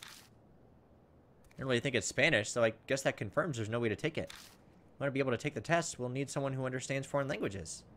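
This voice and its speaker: A man's voice: medium pitch, brisk, long pauses, a bit bright. A man giving casual gameplay commentary as a let's play host, thinking aloud in a hesitant, musing way.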